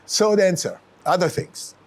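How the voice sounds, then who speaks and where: A man's voice: high-pitched, expressive, unhurried, vocal fry, a little hiss or hum. A man hosting a talk show, questioning his guest and pushing back on his points. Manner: probing, pushes back with questions. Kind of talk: interviewing a guest.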